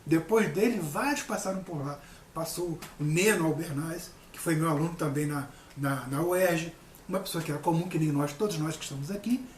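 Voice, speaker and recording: A man's voice: a little high, brisk. A man, middle-aged, giving an informal talk as a lecturer, earnest and emphatic, repeating phrases for stress. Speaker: university lecturer and guest speaker. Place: indoor room with people present. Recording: echoey room, distant mic, faint noise.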